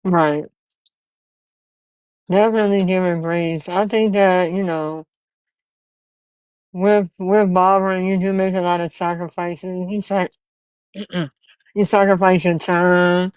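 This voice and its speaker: A man's voice: high voice, fairly even intonation, slow, long pauses, smooth, no echo, low-quality recording. A man in his twenties hosting a podcast, earnest and encouraging, telling stories from his own start as a barber. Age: 20s. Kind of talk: casual interview chat.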